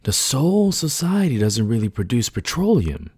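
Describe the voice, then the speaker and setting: A man's voice: medium pitch, very animated, normal speed, a little mellow, slightly rough, dry recording, studio quality. A man playing a cool, articulate supernatural fighter who muses and explains pedantically in an anime fan drama. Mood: musing, explanatory.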